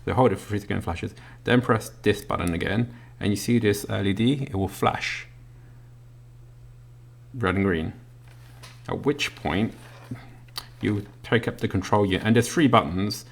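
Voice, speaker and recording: A man gives a casual hands-on product walkthrough. His voice sits very low and has a noticeable vocal fry. He explains things in a somewhat halting, unscripted way. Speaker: product reviewer. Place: indoors at a work desk.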